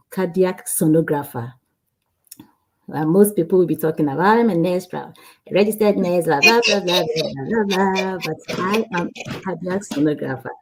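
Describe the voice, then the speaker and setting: A woman from Ghana, a guest on an online interview, speaking in a relaxed way, often laughing and repeating herself. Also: low-pitched, vocal fry, a little hiss or hum, poor audio. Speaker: guest, cardiac sonographer. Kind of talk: casual interview.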